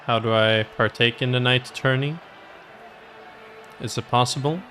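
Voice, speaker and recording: A man's voice: medium pitch, steady tone, moderate pace, pushed and bright, slight background noise. A man who streams games, making casual remarks as he plays. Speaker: game streamer.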